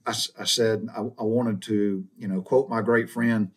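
A man's voice: fairly low pitch, fairly even intonation, moderate pace. Rambling middle-aged man telling stories. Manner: rambling folksy storyteller, chuckles and mild cursing. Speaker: podcast host. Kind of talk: casual storytelling monologue.

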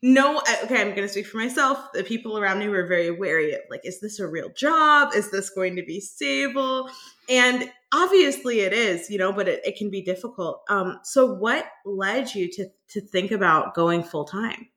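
A woman's voice: medium pitch, animated, moderate pace, pushed and bright. Woman, podcast host, upbeat, chatty, casual interview talk.

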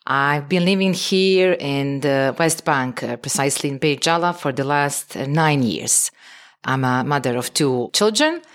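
A woman from Eastern Europe giving a casual personal account as a podcast guest, halting and frank. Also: sits low, expressive.

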